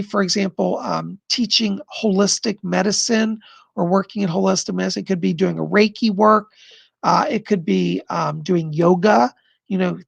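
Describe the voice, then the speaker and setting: A man hosting a live stream of psychic readings, answering viewer questions in an effusive, chatty way and pausing to listen to spirits. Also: sits high, vocal fry, no room sound, dull audio. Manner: pauses to listen to spirits mid-sentence.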